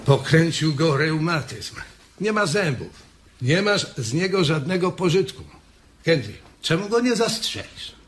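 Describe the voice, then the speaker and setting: A callous ranch hand in a radio drama. He is blunt and insistent, with a fairly high voice and very lively intonation. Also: unhurried. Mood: disgusted, blunt.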